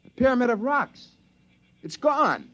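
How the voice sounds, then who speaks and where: An actor playing a plain-spoken, rugged and fatalistic member of a stranded expedition, in mid-century radio drama style. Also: male voice, extremely high pitch, very animated, unhurried, a bit bright, highs cut off, exaggerated voice. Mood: alarmed, urgent.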